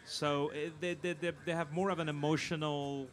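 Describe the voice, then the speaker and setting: A man speaking as a film producer guest in a live panel interview, pausing and repeating words as he thinks. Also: a little high, little pitch movement. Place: live convention stage. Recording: noisy live event room.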